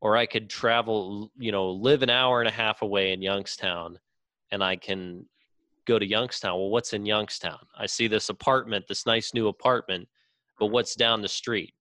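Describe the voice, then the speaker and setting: A man's voice: on the low side, steady tone, normal speed, pushed and bright, dry recording. A young man in a casual podcast debate, speaking as an opinionated co-host who swears freely.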